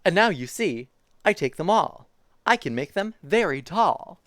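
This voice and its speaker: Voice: mid-range voice, very animated, a little slow, no echo, pitched above the performer's own voice. Streamer doing a dopey spotted storybook creature. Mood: boastful. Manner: singsong rhyming read-aloud.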